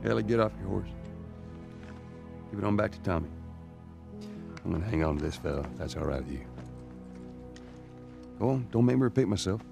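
An actor playing a gruff, guarded middle-aged survivor in a video game, with a gravelly Southern US drawl and a terse, stern manner. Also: male voice, low-pitched, animated, brisk, pauses often, music in the background, full character voice. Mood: gruff, resolved. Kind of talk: cinematic video game drama acting. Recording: dramatic game score behind dialogue.

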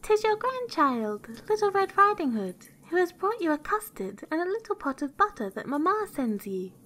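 A woman's voice: very high voice, very animated, normal speed, a bit bright, voice pushed up, heavily acted. A woman voicing an innocent, polite little girl in a storybook, earnest, wondering and sing-song.

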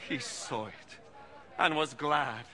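A man's voice: on the high side, expressive, takes it slowly, pushed and bright, heavily acted. An actor in his thirties playing an impassioned young preacher confronting doubters in a film drama, declamatory and earnest. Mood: firm, earnest. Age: 30s. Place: open stone courtyard. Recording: noisy outdoor crowd ambience.

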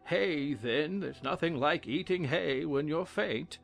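A man playing a pompous, fussy old king in a dramatized audiobook, with a theatrical, drawn-out delivery. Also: a little high, gravelly, a bit bright, soft background music, heavily acted. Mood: faint, fussy.

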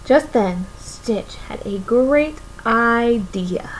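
A woman's voice: fairly high pitch, lively intonation, drawn-out words, slight background noise, rough recording. A young woman reading a picture book aloud to children expressively, often putting questions to her listeners. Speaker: children's story reader. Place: small room at home, indoors.

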